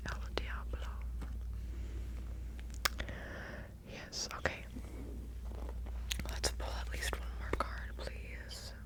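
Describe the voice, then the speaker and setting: A woman playing an earnest witch reading tarot in a whispered ASMR roleplay, speaking breathily and deliberately, repeating phrases for emphasis. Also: natural voice, poor audio. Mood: grave. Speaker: ASMR performer.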